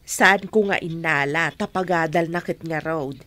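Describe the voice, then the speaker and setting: A woman playing a gentle, caring mother of a grown son in a radio drama. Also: deep voice, unhurried, no echo. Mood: defensive.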